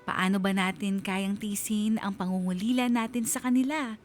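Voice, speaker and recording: A woman's voice: mid-range voice, fairly even intonation, moderate pace, faint music behind. A woman radio host reading a listener's letter as heartfelt, dramatic scripted narration.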